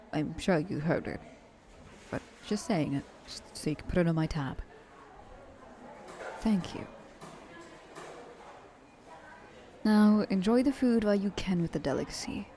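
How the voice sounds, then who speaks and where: Female actor as immortal rich vampiress, ASMR roleplay. Soft-edged mellow tone, poised, teasing, world-weary and possessive. Slightly noisy recording. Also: mid-range voice. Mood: poised, hospitable.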